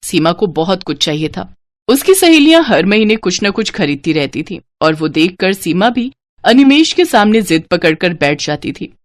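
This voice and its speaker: A woman's voice: fairly low pitch, very animated, moderate pace, no echo. A woman narrating a scripted moral story as a storyteller.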